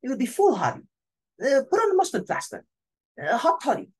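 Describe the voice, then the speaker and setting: Casting: flustered, fretful society host read by a woman, very husky and highly animated, for an amateur drama. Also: a little fast, heavily acted. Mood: solicitous. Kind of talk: amateur dramatic play reading.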